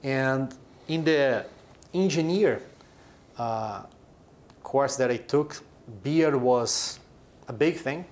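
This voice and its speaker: A man's voice: mid-range voice, very slow, long pauses, darkish tone. A man in his forties from Brazil, a company chief explaining his business in an interview, with short emphatic phrases. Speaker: chief executive of a brewing company.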